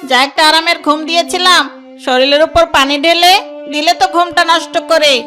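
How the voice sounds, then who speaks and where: Casting: actress as sneaky, cowardly male fox for a kids' cartoon; very high, whiny voice over music. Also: steady tone, fairly quick. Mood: grumbling. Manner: whiny, exaggerated, theatrical. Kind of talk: children's cartoon voice acting. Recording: music bed behind the voice.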